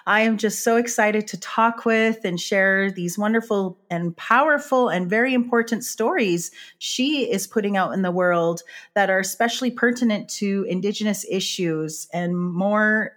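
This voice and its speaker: A woman hosting a podcast, warmly introducing and questioning her guest. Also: mid-range voice, normal speed. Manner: warm, effusive introductions. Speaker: podcast host. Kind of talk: interview hosting.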